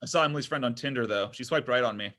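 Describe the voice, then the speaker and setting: A man's voice: mid-range voice, rapid-fire, a bit bright, close to an everyday voice. A man acting a needy, self-dramatizing man in his thirties at a comedy table read, exasperated and argumentative. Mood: sulky. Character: needy, whiny, self-dramatizing thirty-four-year-old son.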